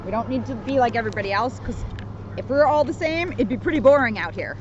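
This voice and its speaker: American lady cop chatting casually outside, high voice, slightly dull audio w some noise. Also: lively intonation, fast talker, a little mellow. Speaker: police officer co-hosting a storytime. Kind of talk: casual, informal community chat. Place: outdoors by a street. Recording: slightly muffled, noisy outdoor recording.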